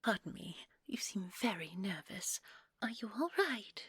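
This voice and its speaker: Woman playing a fluttery, breathy fairy noblewoman. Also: medium pitch, big pitch swings, unhurried, a bit bright, no echo, studio quality, exaggerated voice. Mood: polite, flustered. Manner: prim, fluttery, hesitant politeness. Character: genteel, vain, naive fairy noblewoman. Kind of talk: audio roleplay acting.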